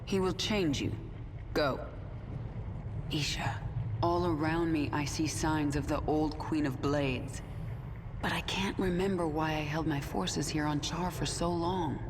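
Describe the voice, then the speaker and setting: A woman's voice: low-pitched, unhurried. Commanding alien swarm queen. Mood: cold command, then searching, demanding. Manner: cool, authoritative, clipped. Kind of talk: video game voice acting. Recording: clear ambient noise behind.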